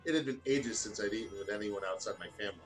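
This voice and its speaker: A man's voice: lowish voice, rapid-fire, soft background music. A man reading fan fiction aloud in a casual style as a co-host of a gaming video.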